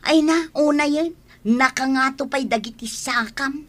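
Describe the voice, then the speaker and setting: A woman's voice: high voice, a little slow. A woman with an Ilocano accent playing a bossy, nagging but lazy office cleaner in a comedy radio drama. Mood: scolding.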